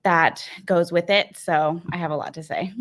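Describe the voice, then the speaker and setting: A woman's voice: fairly low pitch, moderate pace. A woman in her late twenties, a podcast panel guest, chatty and laughing lightly between points. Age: 20s. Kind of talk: casual chat.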